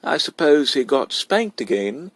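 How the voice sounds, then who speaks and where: Guy doing a concerned polite mom from a storybook, takes it slow. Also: medium pitch, very animated, a bit bright, no echo, pitched above the performer's own voice. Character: anxious, proper young mother questioning her son. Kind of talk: storybook character voice.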